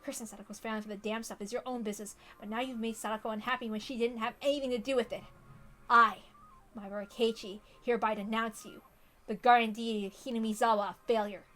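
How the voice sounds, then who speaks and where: A woman's voice: a little high, fairly even intonation, fast talker, a bit bright, close to an everyday voice. A woman voicing a teenage boy consumed by murderous rage in an intense, dramatic visual novel monologue. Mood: bitter defiance.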